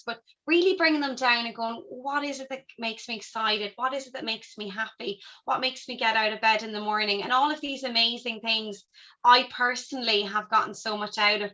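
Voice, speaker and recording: Bright, enthusiastic young woman host. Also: fairly high pitch. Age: young adult. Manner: enthusiastic and effusive. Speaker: podcast host. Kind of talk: podcast interview.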